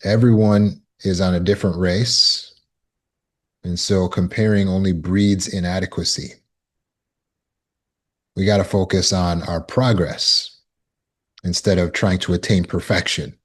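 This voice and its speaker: A man teaching a self-help lesson as a recovery coach, speaking slowly and deliberately and repeating affirmations. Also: sits low, little pitch movement.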